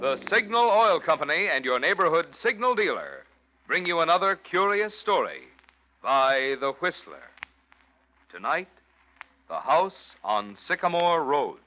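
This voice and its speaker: A man's voice: on the high side, big pitch swings, unhurried, long pauses, slight background noise. A man announcing in formal, emphatic 1940s radio style from a script. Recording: dull vintage radio recording.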